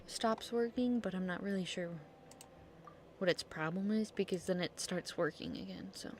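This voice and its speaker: A woman's voice: medium pitch, normal speed, slight background noise. A woman streaming a game, thinking aloud in short, casual, reactive remarks as she plays. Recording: game music behind voice. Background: video game music.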